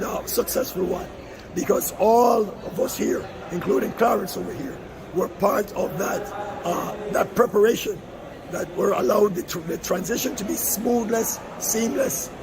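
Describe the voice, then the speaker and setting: Older man, hoarse and high-voiced, earnest interviewee; noisy crowd. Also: normal speed. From Panama. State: hoarse, lost voice. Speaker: museum representative, retired canal manager. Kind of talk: street interview. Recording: outdoor fair with crowd noise. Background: crowd at an outdoor fair.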